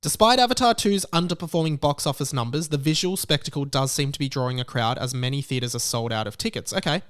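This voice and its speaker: A man's voice: medium pitch, fast, a bit bright, clear, resonant tone. A man hosting a solo podcast, giving conversational commentary in an emphatic, self-assured, opinionated way. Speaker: podcast host.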